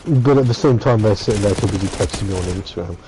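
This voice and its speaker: A man's voice: on the low side, fast talker, noisy background. A young man chatting and teasing his co-host on a casual gaming stream, rambling and laughing often.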